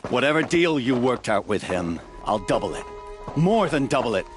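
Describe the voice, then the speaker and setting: An actor playing a desperate, fanatical villainous priest in a video game, theatrical and declamatory. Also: male voice, mid-range voice, very animated, moderate pace, close to an everyday voice. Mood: desperate pleading. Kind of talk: video game dramatic acting. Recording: loud music behind. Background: loud game music.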